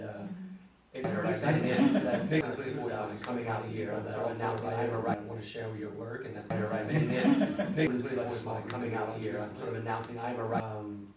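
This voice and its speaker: A man from Nigeria, introducing himself to a workshop group in a chatty, laughing way. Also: lowish voice. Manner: quick, chatty, laughing as he talks. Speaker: workshop participant. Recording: echoey room, mic far off, crowd noise.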